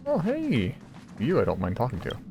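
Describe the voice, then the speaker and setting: A woman's voice: a bass voice, huge pitch swings, moderate pace, creaky, mellow, faint music behind. A woman making casual, wry, laid-back comments while reacting to a game she is playing. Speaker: let's player commenting on a game.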